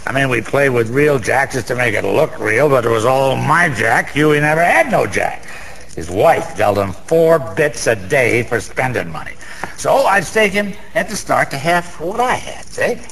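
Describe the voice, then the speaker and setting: Boozy gravelly gambler in a radio play, wisecracking old slang, voice swings all over, faint noise. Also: male voice, medium pitch, lively intonation, normal speed, a bit bright. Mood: boastful, fond. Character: boozy small-time gambler Erie, sentimental and boastful, grieving a friend. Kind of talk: radio drama monologue in old-fashioned street slang.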